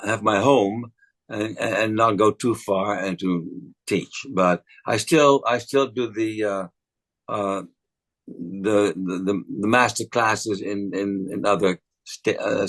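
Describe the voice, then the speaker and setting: Older Dutch-accented man, lowish voice, halting and repetitive, relaxed interview guest. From Netherlands. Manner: hesitant, many fillers and restarts. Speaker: acting coach and actor. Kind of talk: casual interview chat.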